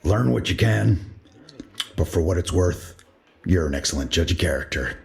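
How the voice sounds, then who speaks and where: Guy playing a steady ship lieutenant, super deep voice, talks straight. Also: normal speed, gravelly.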